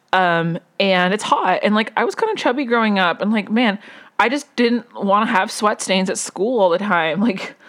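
A woman's voice: mid-range voice, fairly bright tone. A young woman casually telling a personal story at home, rambling with many fillers and restarts.

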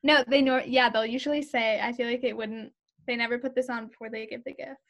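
A woman's voice: on the high side, fairly even intonation, brisk, vocal fry, fairly bright tone. A young woman co-hosting a podcast over a video call, casual and giggly. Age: young adult. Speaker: podcast co-host.

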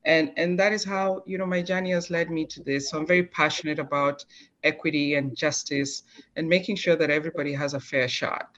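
A woman's voice: low voice, fairly even intonation, a little fast, fairly bright tone, lo-fi sound. A woman speaking earnestly as a guest on a panel, with frequent restarts. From Kenya. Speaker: guest, agriculture department staff. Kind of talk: video call panel talk.

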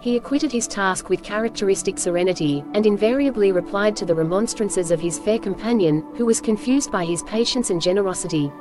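A woman reading scripted storybook narration as host, with measured, clear phrasing. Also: mid-range voice, music in the background.

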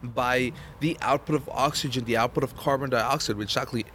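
A man talks into a shared podcast mic in loose, profane chat. He explains things with mounting excitement. His pitch sits in an ordinary middle range, and faint background noise runs underneath. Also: a little fast. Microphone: shared podcast microphone.

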